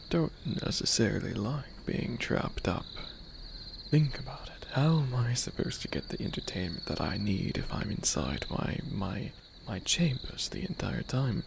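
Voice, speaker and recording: A man voicing an imperious, playfully menacing skeleton demon overlord in an ASMR fantasy roleplay, with a gravelly, breathy murmur and formal, theatrical phrasing. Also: mid-range voice, background noise. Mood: playful, wistful.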